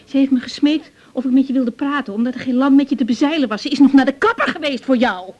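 A woman's voice: high-pitched, fast talker, dull audio. A woman acting in a radio drama as a hurt, exasperated young grown daughter, blunt and reproachful.

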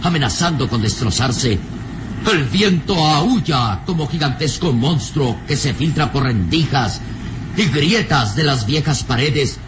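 A man's voice: mid-range voice, lively intonation, measured pace, noisy background, lightly acted. A man narrating a radio serial from a script in a grave, suspenseful, theatrical manner.

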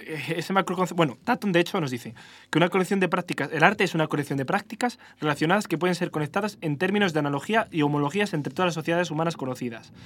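A man's voice: fairly high pitch, very fast, a bit bright. Spanish man explaining philosophy, self-correcting. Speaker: podcast host. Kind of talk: philosophical debate.

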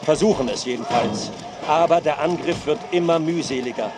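An actor playing an urgent battlefield reporter in a radio play, giving live commentary. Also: male voice, a little high, normal speed, close to an everyday voice. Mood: urgent, grave. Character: urgent male battlefield reporter of a medieval slaughter. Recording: loud battle crowd and noise behind. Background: noisy outdoor crowd.

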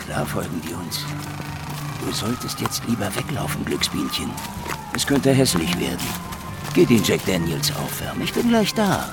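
A man's voice: sits low, wildly swooping pitch, moderate pace, over loud music, full character voice. A man playing a cunning, hard-drinking old private detective in a hard-boiled radio drama, taunting and wordy in his wisecracks. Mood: taunting, tough. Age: older.

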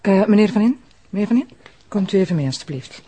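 An actress playing an assertive, formal deputy prosecutor in a radio drama. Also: female voice, mid-range voice, lively intonation, fast talker, mellow, slight background noise, close to an everyday voice, rough recording. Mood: firm. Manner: formal, firm, questioning.

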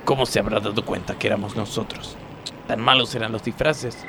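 A man's voice: fairly low pitch, fairly even intonation, fairly quick, vocal fry, background noise. A man with an Argentine accent playing a dim, tactless factory worker in a comedy radio sketch. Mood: blundering. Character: dim, blundering, blurting male factory worker.

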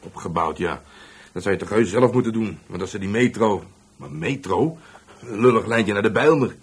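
An actor playing a calm, wry police detective sergeant in a radio drama, measured and polite. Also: male voice, on the low side, breathy edge. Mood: sceptical.

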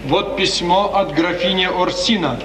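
High-voiced old deferential valet, distant. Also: male voice, a little slow, a bit bright. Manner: formal, reporting briefly. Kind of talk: 1950s Russian radio theatre acting. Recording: noisy old recording, echoey and distant.

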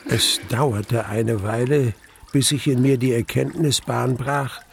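An actor playing a grave man who recounts mortal terror, narrating a radio play deliberately and with weighted pauses. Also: mid-range voice, steady tone, vocal fry, slight background noise. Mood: tense, awed. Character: grave male narrator recalling his mortal terror in a cellar. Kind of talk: dramatic first-person radio play narration.

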